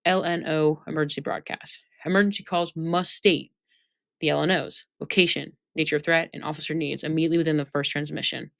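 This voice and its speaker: A woman's voice: low-pitched, fairly even intonation, brisk, creaky, no room sound, studio quality. A woman instructor giving an informal training lesson, reading out points and explaining them, often checking that listeners follow. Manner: instructive, checks understanding with tag questions.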